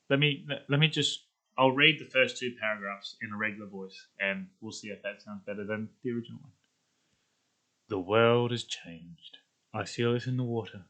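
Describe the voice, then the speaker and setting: Man, casual video creator, mid pitch, forward bright tone, thinking aloud. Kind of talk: casual vlog chat.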